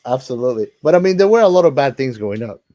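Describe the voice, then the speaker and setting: A man talks casually in a mid-pitched voice, recorded dry and close. He sounds like a podcast co-host in an informal film chat, blunt and slangy in how he puts things. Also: animated, quick pace, mellow, no room sound. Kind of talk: casual movie discussion.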